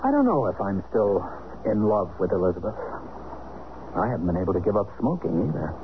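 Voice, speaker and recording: A restless husband in a 1970s radio drama, low-pitched and understated yet expressive in intonation. The dull broadcast recording is clearly noisy. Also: male voice, fairly quick. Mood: conflicted. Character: rueful, restless husband turned reluctant father.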